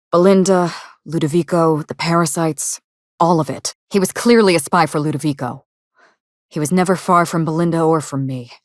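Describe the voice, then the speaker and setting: A woman's voice: low voice, dry recording, lightly acted. An actress playing a sardonic, grieving woman out to avenge her sister in a video game, speaking articulately with dry, controlled sarcasm. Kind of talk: video game dialogue acting.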